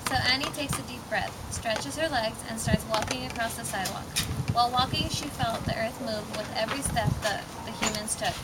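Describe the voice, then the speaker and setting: A woman's voice: on the high side, brisk. A woman narrator reading a scripted children's story aloud. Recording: very noisy amateur recording.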